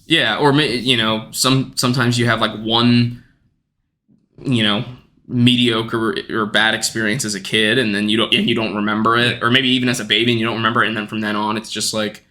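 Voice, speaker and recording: Twenties man, bright tone, earnest and rambling, lots of restarts; casual podcast talk. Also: lowish voice, little pitch movement, brisk. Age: 20s. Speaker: podcast guest.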